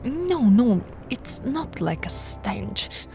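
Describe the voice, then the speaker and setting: A woman voicing a possessive, seductive, haughty vampire noblewoman in an intimate roleplay, with theatrical drawn-out words and breathy whispers. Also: on the high side, very animated, mellow, faint music behind, heavily acted. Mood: seductive, hungry. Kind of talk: intimate ASMR audio roleplay.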